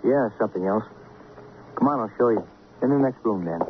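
A man's voice: lowish voice, expressive, brisk, natural voice. Man acting a clipped police sidekick, tinny radio. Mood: matter-of-fact. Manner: clipped, matter-of-fact reporting. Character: plain-spoken male police detective, dutiful sidekick. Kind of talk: 1950s radio crime drama acting. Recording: tinny, noisy old radio recording.

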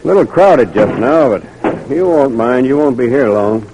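Actor as a brisk, jeering guard sergeant. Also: male voice, mid-range voice, expressive, noisy background, lightly acted. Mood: offhand, needling. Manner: offhand, bossy. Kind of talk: old-time radio drama acting.

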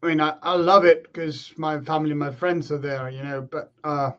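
A man, his voice sitting a little high for a man. He answers in a relaxed, reflective way and pauses often on fillers. The remote call audio is slightly dull and lo-fi. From Argentina. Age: 30s. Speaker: guest, professional rugby player. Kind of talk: remote podcast interview.

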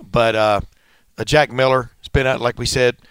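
A man in his sixties from Texas co-hosts a sports talk show in a folksy, easygoing way and pokes fun at himself. His voice is smooth and clear, recorded close and dry. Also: mid-range voice, steady tone, normal speed, a bit bright, no room sound. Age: 60s. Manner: folksy, easygoing, self-mocking.

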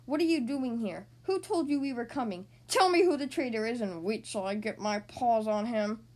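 A woman voicing a bossy, quick-tempered cat pirate king in a children's story, barking impatient orders loudly. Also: on the high side, expressive. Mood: scowling, angry.

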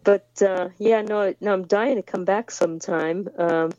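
A woman's voice: mid-range voice, steady tone, unhurried, a little mellow, no echo, lo-fi sound. A woman raised in the United States, a musician guest in a remote interview, talking hesitantly with many fillers and restarts.

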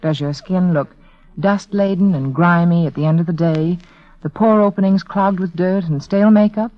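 A woman with a lowish voice delivers a scripted old-time radio commercial. She is persuasive and careful with her words, on a dry, muffled recording. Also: normal speed, no room sound. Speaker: advertising spokeswoman, beauty authority.

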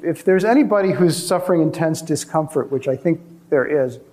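A man's voice: a little high, a little fast, dark tone. A man presenting a live show, addressing the audience directly.

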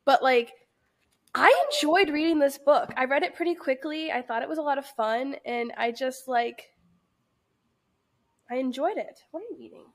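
A young woman co-hosting a book podcast sounds stuffed up from a cold. Her voice is high, and she gives her opinions bluntly and with strong emphasis. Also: normal speed. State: congested with a cold. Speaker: podcast co-host. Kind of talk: casual book review chat.

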